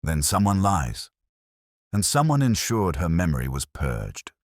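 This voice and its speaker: A man voicing an imperious armchair detective in an audiobook, terse, pompous and deliberate. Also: deep voice, very animated, no room sound, clean studio sound, exaggerated voice. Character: imperious, brilliant, grumbling male armchair detective. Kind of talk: audiobook character dialogue.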